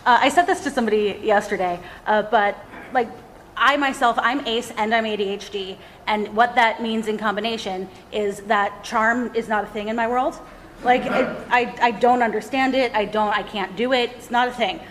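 A woman's voice: fairly high pitch, steady tone, normal speed, slight background noise. A woman on a podcast panel who talks in a blunt, straightforward way. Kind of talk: casual panel discussion. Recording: long reverb in a live room.

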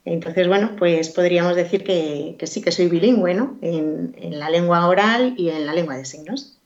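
A woman with a lowish voice answers interview questions at length. She hesitates, fills gaps with little sounds and circles back to finish her thoughts. The recording sounds dull and lo-fi. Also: moderate pace. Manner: hesitant, many fillers and restarts. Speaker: guest. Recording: dull lo-fi audio.

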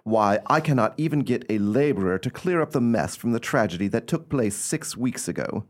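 A man's voice: mid-range voice, expressive, normal speed, lightly acted. A man playing an exasperated, haughty lord in a radio play, formal and dismissive.

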